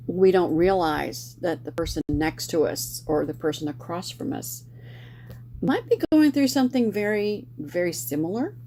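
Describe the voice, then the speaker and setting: A middle-aged woman hosts a casual book club chat in a fairly low voice. She rambles a little, restarting sentences and filling pauses with "uh" and "um". A faint hiss of noise sits under the recording. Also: mellow. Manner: rambling, many fillers and restarts.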